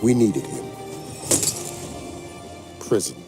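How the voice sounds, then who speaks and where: An actor playing a weary, loyal senior intelligence handler, narrating gravely and plainly in a game cutscene. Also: male voice, lowish voice, sing-song, extremely slow, music in the background, exaggerated voice. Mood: somber, regretful. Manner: grave, plain-spoken, folksy.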